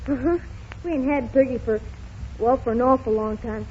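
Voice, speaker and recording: A woman's voice: on the high side, normal speed, warm tone, breathy edge. A woman playing a poor, polite young newsboy with artless street-kid speech in a 1930s radio drama. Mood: artless, plucky. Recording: muffled 1930s radio recording.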